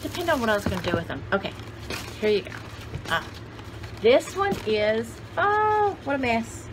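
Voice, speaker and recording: A woman's voice: on the high side, big pitch swings, noisy background. An older woman in her sixties chatting casually and thinking aloud as she hosts a home show-and-tell video, recorded on a camera in a small room. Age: older, about 60s. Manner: unhurried, conversational, thinking aloud. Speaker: vintage haul video host. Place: small indoor room with cluttered shelves.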